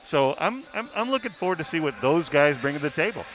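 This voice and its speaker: Fast-talking man chatting about basketball. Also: fairly high pitch, sing-song, a bit bright, low-quality recording. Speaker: sports writer and analyst. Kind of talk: casual basketball chat.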